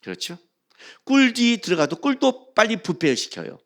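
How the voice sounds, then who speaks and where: Male preacher, slightly high voice with big melodic swings; emphatic, questions listeners, shifts between forceful and hushed. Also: very animated, normal speed. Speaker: pastor. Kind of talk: sermon with scripture reading.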